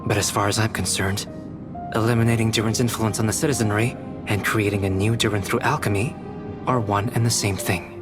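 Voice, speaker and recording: A man playing a low-voiced, composed young alchemist. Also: fairly even intonation, close to an everyday voice. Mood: matter-of-fact. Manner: measured, formal, precise, cool. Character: calm, erudite young male alchemist, detached and analytical. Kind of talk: video game voice acting. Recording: music behind the voice.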